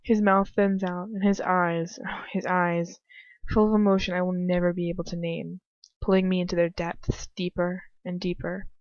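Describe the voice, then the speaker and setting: A woman's voice: fairly low pitch, level tone, moderate pace, darkish tone. A woman doing an amateur read-aloud of fan fiction in a casual, irreverent way, stumbling and breaking into chatty asides. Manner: irreverent, giggly, swearing asides, stumbles while reading. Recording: muffled lo-fi home recording, roomy echo.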